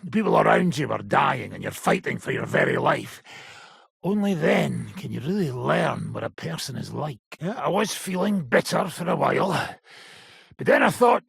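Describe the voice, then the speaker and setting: An actor playing a gruff, battle-worn old robber baron in a video game, raspy, blunt, coarse and sardonic. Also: male voice, on the high side, sing-song, moderate pace, fairly bright tone, dry recording, clean studio sound, heavily acted. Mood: wry, reminiscing. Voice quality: gravelly, very raspy. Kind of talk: video game character acting.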